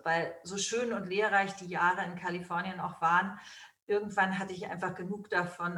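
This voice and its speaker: Woman guest, low-pitched and reflective, off-mic in a roomy space. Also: a bit bright, recorded from a distance. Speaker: guest, yoga school founder. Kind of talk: phone interview answers.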